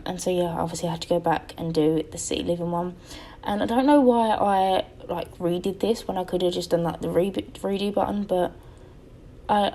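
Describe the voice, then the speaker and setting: A woman's voice: mid-range voice, warm tone. A young woman giving a rambling, casual voice-over about her building project. She sounds tired and her voice is a little crackly, and she often corrects herself and fills gaps with "um". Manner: rambling, chatty, self-correcting with many ums. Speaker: game building YouTuber.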